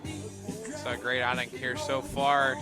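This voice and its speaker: A man doing live radio play-by-play of a softball game in short, present-tense calls that lift on the plays. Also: medium pitch, completely flat delivery, slow pace, over music.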